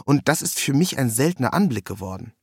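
Man, scripted story narration, ironic tone, mid-pitched voice, dry recording. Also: big pitch swings, fast, darkish tone, breathy edge, dry recording. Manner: wry, ironic delivery with acted quotes. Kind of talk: scripted first-person literary narration.

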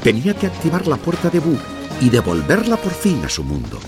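A man narrating a children's story in an expressive, theatrical scripted style. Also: fairly high pitch, a little fast, over music.

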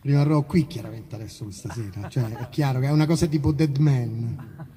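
A man's voice: mid-range voice, a little fast, dark tone. A man who performs as a musician, talking casually and self-deprecatingly between songs in rambling, joking stage banter. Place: outdoor festival stage in a park.

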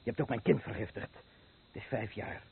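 An actor playing a poisoned, bereaved father accusing the seller, husky and bitter, in a Dutch radio play. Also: medium pitch, a little fast, slight background noise, close to an everyday voice. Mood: grieving accusation. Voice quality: husky, rough. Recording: muffled, phone-like old radio recording.

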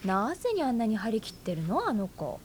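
An actress playing a frank, breezy aunt who teases and speaks bluntly in a radio drama. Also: female voice, mid-range voice, very animated, fairly quick, darkish tone, a little hiss or hum, lightly acted. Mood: bantering. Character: blunt, teasing independent aunt.

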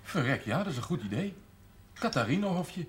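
An actor playing a sober, chiding grown son in a naturalistic 1970s Dutch television drama. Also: male voice, fairly high pitch, sing-song, a little fast, slight background noise. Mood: matter-of-fact. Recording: echoey room, distant from mic, old TV soundtrack.

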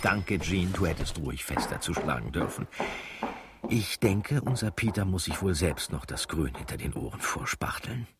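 An actor playing a sardonic storyteller with a husky, rough voice, narrating a radio drama. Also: male voice, deep voice, animated, moderate pace, recorded from a distance, slight background noise. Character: sardonic, familiar male storyteller commenting aside.

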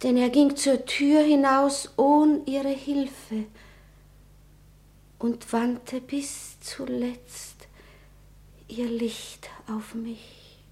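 A woman's voice: sits high, steady tone, very slow, long pauses, a little mellow, full character voice. An actress playing a frightened, obedient young noblewoman in a classical radio play, theatrical and earnest. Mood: shaken, hushed wonder. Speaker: radio drama actor.

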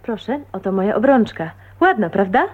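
A woman's voice: medium pitch, expressive, moderate pace, soft background music, lightly acted. An actress playing a polite but cheeky, self-possessed young woman in a radio drama. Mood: cheerful, cheeky. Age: young adult. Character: self-possessed, cheeky 29-year-old niece, a former meek spinster. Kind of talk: Polish radio drama acting. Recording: dull, noisy archive radio recording.

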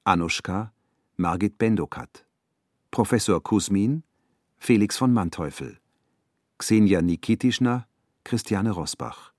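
Ein Mann liest als Ansager sachlich die Besetzung eines Hörspiels vor. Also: male voice, on the low side, animated, a little slow, pauses often, no room sound, studio quality. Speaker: announcer reading the credits. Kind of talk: measured scripted announcement.

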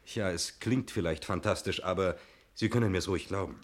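An actor in a 1960s German radio play, playing a breezy, talkative stranger who is glib, jovial and evasively polite. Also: male voice, low-pitched, brisk, slight background noise, close to an everyday voice. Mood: smoothly explaining. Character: breezy, talkative male stranger claiming to own the chalet.

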